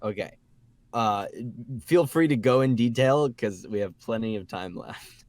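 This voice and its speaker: A man's voice: lowish voice, lively intonation, unhurried, no room sound. A man hosting a casual group podcast, talking informally with the others. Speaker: podcast host.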